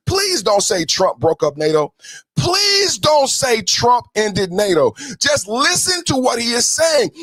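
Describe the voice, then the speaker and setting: A man's voice: high voice, a bit bright, dry recording. An American man giving heated, profane political commentary as a podcast host, repeating himself emphatically. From San Francisco, United States.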